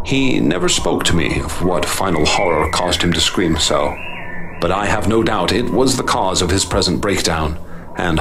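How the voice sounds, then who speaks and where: A man's voice: low-pitched, background noise. Tense, stammering male radio-drama narrator. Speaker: narrator and professor. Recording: music playing under the voice.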